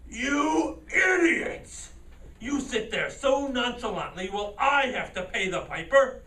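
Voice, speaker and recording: A man's voice: very high-pitched, expressive, slow pace, sharp tone, exaggerated voice. A man playing a blustering, scornful reality-show boss in an amateur staged reading, berating people theatrically in an echoey room. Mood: furious. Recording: echoey room, far from the mic.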